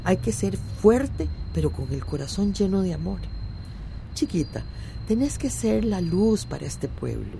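A woman's voice: fairly low pitch, sing-song, measured pace, gravelly, mellow, a little hiss or hum, full character voice. An actress in a radio drama playing a wise, motherly village mentor, soothing and earnest, with a Central American accent. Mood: gentle, encouraging.

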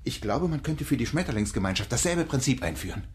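A man's voice: medium pitch, lively intonation, fast talker, lightly acted. An actor playing a pensive, curious man who leads a starship colony in a radio drama. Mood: persuasive. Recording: clear background ambience noise.

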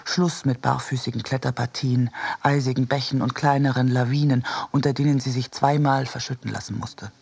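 Male narrator for literary radio piece, mid-pitched, markedly raspy and creaky. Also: steady tone, brisk, hardly any pauses, darkish tone. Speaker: reader of a literary essay. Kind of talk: scripted narration in a radio production.

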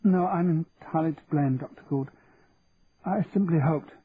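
A man's voice: a little high, moderate pace, narrow, tinny audio, slight background noise, pitched above the performer's own voice, lightly acted. A man voicing an earnest, well-bred young woman with polite, anxious manners in a story reading. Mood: distressed, polite.

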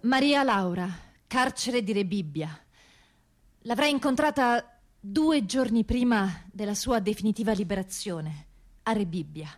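A woman narrating a first-person radio theatre monologue in a reflective, theatrical way with long pauses. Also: mid-range voice, expressive. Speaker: actor-author narrating a monologue.

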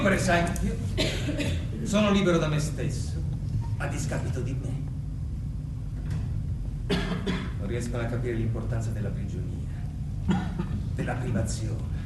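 A man's voice: high voice, very noisy. A man playing a mad, megalomaniac Roman emperor in a theatrical stage monologue, declaiming grandly and swinging between brooding and frenzy. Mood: brooding, ironic. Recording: echoey distant stage, loud music. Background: loud music.

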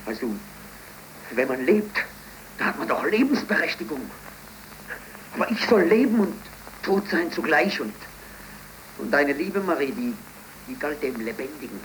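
A man's voice: fairly high pitch, sing-song, normal speed, pauses often, fairly bright tone. In a noisy 1930s German radio play, an actor plays a bitter, despairing unemployed blacksmith in his twenties, theatrical and brooding. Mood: weary, brooding. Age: 20s.

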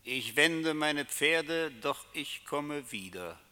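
A man's voice: fairly high pitch, lively intonation, slow pace, pushed and bright, clean tone, heavily acted. A man acting a menacing, seductive tempter in a theatrical radio drama, taunting with insistent rhetorical questions. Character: menacing, seductive male tempter who taunts his victims. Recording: long reverberant hall sound.

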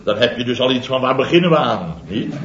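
Actor as indignant, rambling middle-aged boss; roomy, muffled vintage radio with a live crowd. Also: male voice, medium pitch, lively intonation, brisk, a bit bright, slight background noise, close to an everyday voice. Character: blustering, easily embarrassed male firm owner. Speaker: boss of a building contractor firm. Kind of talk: radio comedy sketch. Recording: lo-fi old radio with audience laughter.

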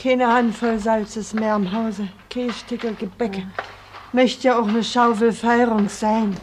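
A woman's voice: a little high, steady tone, a little slow, background noise, natural voice. An actress playing a sick, careworn old mother in a radio drama, lamenting in Silesian German dialect. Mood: fretful lament. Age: older. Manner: lamenting, plaintive.

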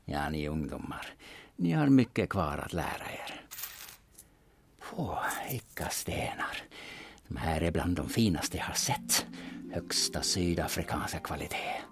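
A man playing a shrewd, secretive older veteran turned sleuth in an audio drama, husky and deliberate in a theatrical way. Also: a bass voice, animated, in a whisper. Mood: sly, satisfied. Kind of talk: serial audio drama acting.

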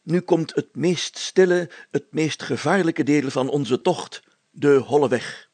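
A man's voice: fairly high pitch, takes it slowly, a little mellow, slightly rough, dry recording, voice pushed up. A man voicing a pompous, solemn, overcautious village mayor in a storybook reading, speaking in formal, stately phrases. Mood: pompous, grave.

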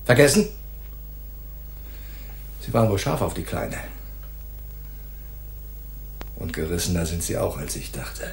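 A hot-tempered bully of a police detective in a noir radio play. He taunts and sneers in a fairly low voice, aggressive and contemptuous. The room around him echoes. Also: expressive, moderate pace, a little hiss or hum. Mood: menacing, contemptuous. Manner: mocking, aggressive, swinging from soft taunts to barking. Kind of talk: noir radio drama dialogue.

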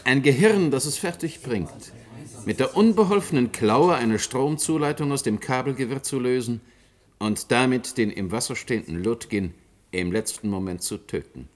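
A man's voice: mid-range voice, expressive, normal speed, natural voice. An actor playing a firm, formal male expert witness who argues precisely and insistently in a German radio play. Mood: pleading firmly. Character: firm, indignant male expert witness arguing before inquiry.

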